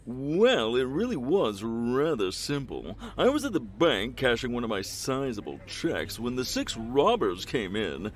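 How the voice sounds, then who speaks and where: A man's voice: medium pitch, very animated, unhurried, few pauses. A man playing a vain, rich, pompous superhero in an audio comedy, speaking theatrically. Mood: smug bragging.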